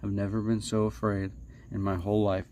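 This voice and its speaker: A man telling stories casually as a livestream host, halting and repeating himself, playfully dramatic with stretched words and hushed asides. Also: fairly low pitch, monotone, a little hiss or hum. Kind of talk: casual rambling spoken storytelling.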